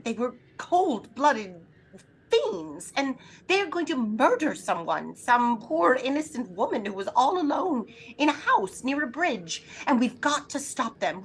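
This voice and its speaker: An actress playing an anxious, imperious invalid wife in a throwback radio thriller, agitated, demanding and melodramatic. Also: female voice, fairly high pitch, a little hiss or hum, poor audio. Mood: alarmed, flustered. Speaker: lead actress in an audio drama.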